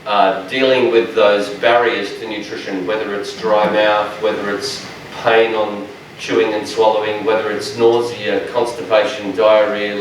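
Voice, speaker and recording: A man, a dietitian giving a lecture to health workers in a large room, with a loud, strong voice and a passionate, joking manner. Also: fairly low pitch, slight background noise. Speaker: dietitian presenter. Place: large echoey training room. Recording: echoey room, speaker far from mic.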